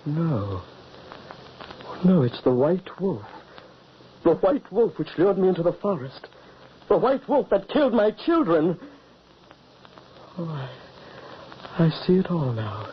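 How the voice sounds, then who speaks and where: A man's voice: fairly high pitch, big pitch swings, slow, long pauses. An actor playing a tormented, guilt-stricken hunter father in an old-time radio drama, anguished and theatrical. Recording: muffled, noisy old radio recording.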